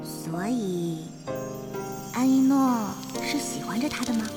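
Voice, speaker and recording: Earnest young heroine, high voice, wondering dramatic delivery, loud tinkling music. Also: female voice, lively intonation, slow pace. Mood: wistful realization. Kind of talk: dramatic radio drama acting.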